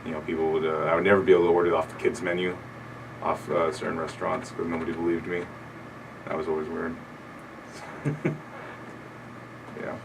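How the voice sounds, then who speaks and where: A young man with a deep voice, a comedian talking loosely and hesitantly, with lots of fillers, in a radio studio interview. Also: a little fast, vocal fry, pauses often. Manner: relaxed, rambling, hesitant with many fillers. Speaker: comedian guest. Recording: distant mic, faint background hiss.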